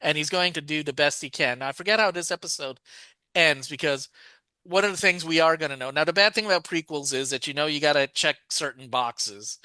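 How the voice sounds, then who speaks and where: Bright, rambling man co-hosting a podcast. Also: fairly high pitch, fairly quick, clean tone, no room sound. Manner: rambling, digressive, frequent fillers and self-corrections. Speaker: podcast co-host. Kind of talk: casual chat about a TV show.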